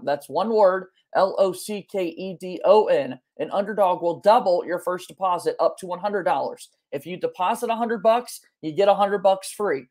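A man's voice: high voice, darkish tone. Young male sports podcast host. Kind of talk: podcast hosting and presenting. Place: home bedroom or office, indoors. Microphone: video call, webcam framing, wired earbuds.

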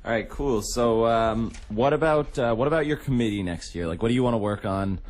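A man's voice: medium pitch, fairly quick, darkish tone. A young man, co-hosting a radio show with casual interview questions. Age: young adult. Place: radio studio.

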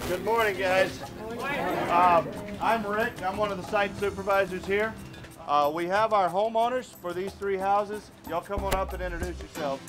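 A man, a site supervisor, giving a relaxed, joking briefing to volunteers at an outdoor build site, with many "uh"s. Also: high-pitched, normal speed. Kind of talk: addressing a volunteer crowd. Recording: crowd and music behind.

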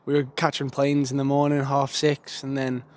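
A man's voice: medium pitch, completely flat delivery, normal speed, a little mellow. A young man giving a casual, earnest post-match interview outdoors in a stadium stand into a handheld mic. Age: young adult. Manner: casual, plain-spoken, earnest. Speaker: footballer.

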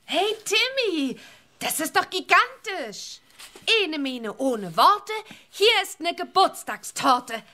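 A woman playing a spirited, kind young witch girl in a children's audio play, perky and expressive. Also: high voice, slow pace, full character voice. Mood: cheerful, kind.

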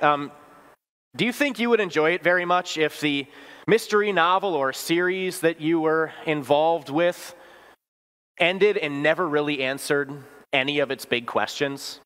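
A man's voice: on the high side, fairly bright tone, clean tone. A male pastor in his thirties preaching a sermon in a church sanctuary, conversational and storytelling, asking the congregation questions. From Wisconsin, US. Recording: long church reverb.

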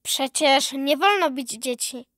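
Bright, high-pitched little girl. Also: slow pace, no room sound, clean studio sound. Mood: curious. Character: curious, earnest little granddaughter. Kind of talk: children's radio play acting.

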